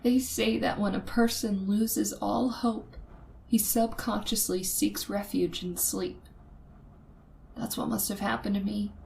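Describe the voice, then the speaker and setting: A woman's voice: medium pitch, slight background noise, rough recording. An actress playing a frightened young woman held captive by a killer, telling her story in a suspenseful old-time radio horror drama. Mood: tense narration. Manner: breathy dramatic suspense, hushed and halting when afraid.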